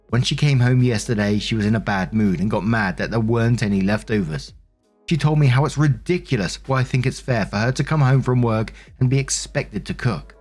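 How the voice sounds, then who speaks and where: A man's voice: mid-range voice, a little mellow. A man hosting a story show, reading posts aloud in a narrating style with casual commentary. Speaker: host narrating online stories.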